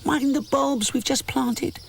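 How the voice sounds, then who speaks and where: A man doing tiny shouting spring imps. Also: extremely high pitch, steady tone, slow pace, creaky, a little hiss or hum, voice pushed up, exaggerated voice. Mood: scolding. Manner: shouting, scolding.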